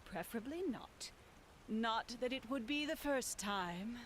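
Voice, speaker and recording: A woman's voice: fairly high pitch, animated, measured pace, slight background noise. A woman playing a courteous, evasive housekeeper of a mysterious fantasy manor, formal and primly polite in a game. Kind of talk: scripted fantasy game dialogue.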